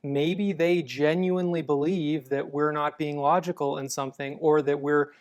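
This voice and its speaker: Measured male guest, smooth and clear, patient explainer. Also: on the high side. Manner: calm, measured, explanatory with frequent restarts. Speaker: apologetics guest. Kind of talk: conversational interview answers.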